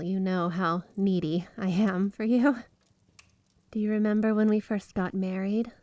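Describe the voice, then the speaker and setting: A woman's voice: mid-range voice, a little mellow, no room sound, lightly acted. Woman playing a clingy, sweet yandere wife, close and dry. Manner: sweet, clingy, breathy giggles, gushing affection. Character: flirty, obsessively devoted yandere wife with magic snow powers. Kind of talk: ASMR roleplay, addressing the listener as spouse. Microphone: close studio microphone, dry.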